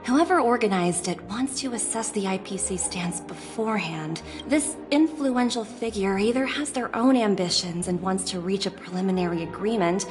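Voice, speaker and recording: A woman's voice: medium pitch, moderate pace, hardly any pauses, close to an everyday voice. A woman voicing a poised, shrewd young executive in a studio game, confident and lightly teasing. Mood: calm confident. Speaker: game character. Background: game music.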